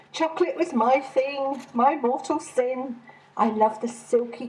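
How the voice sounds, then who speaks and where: A woman reads a humorous first-person story. Her voice sits a little high, and she delivers it as scripted narration by the author. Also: slight background noise, poor audio. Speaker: author reading own short story.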